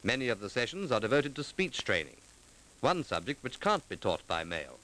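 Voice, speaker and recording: A man delivering formal, measured scripted narration in the manner of a 1940s documentary, clearly enunciated. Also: mid-range voice, big pitch swings, fairly bright tone, low-quality recording. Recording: vintage 1940s film soundtrack.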